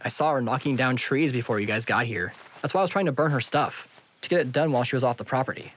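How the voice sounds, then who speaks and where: A man's voice: medium pitch, fast, fairly bright tone, husky, dull audio. A man playing a cocky, taunting young man in an audio drama, with a smug, teasing manner. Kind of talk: naturalistic audio drama acting.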